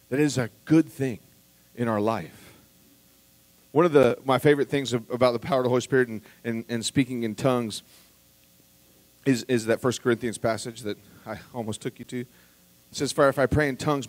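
Man preaching, mid-pitched voice, earnest, swells loud then hushed, dry close mic. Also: no echo, low-quality recording. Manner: earnest, building to emphatic peaks then dropping quiet; repeats words when restarting, conversational asides to the congregation. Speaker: pastor. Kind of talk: sermon.

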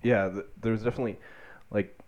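A man hosting a casual interview, asking informal questions in a halting way. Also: on the low side, fairly even intonation, darkish tone. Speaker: interviewer, host. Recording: dull, slightly lo-fi sound.

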